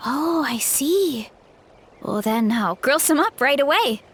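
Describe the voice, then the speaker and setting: A woman voicing an earnest, gentle young woman adventurer in a video game, sincere and slightly naive. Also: sits high, lively intonation, slow, slight background noise, exaggerated voice. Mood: eager. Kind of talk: video game character acting.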